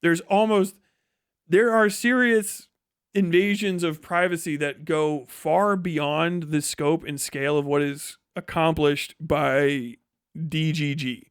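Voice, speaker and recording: Male American livestream commentator, high-pitched, blunt and profane, casual opinionated delivery, very dry sound. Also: fairly even intonation, takes it slowly, clean tone, no room sound. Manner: profane, blunt, scornful, slangy. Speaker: livestream host and political commentator.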